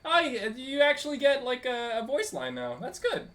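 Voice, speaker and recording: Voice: sits high, huge pitch swings, moderate pace, a bit bright, a little hiss or hum. A game streamer giving casual live commentary and chattily talking back to the characters. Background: game music.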